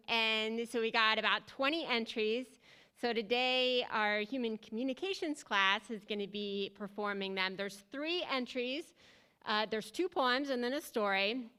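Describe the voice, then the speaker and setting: Bright, clear, chatty woman presenter. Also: a little high. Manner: chatty, informal. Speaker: creative writing teacher introducing readings. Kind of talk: casual event introduction.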